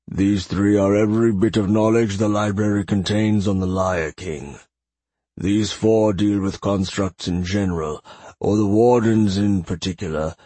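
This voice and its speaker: Husky, deep old librarian, acted by a man. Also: darkish tone, no echo. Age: older. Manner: curt, formal, precise. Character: elderly male librarian, formal, dutiful, dryly smug servant. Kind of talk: audiobook character voice.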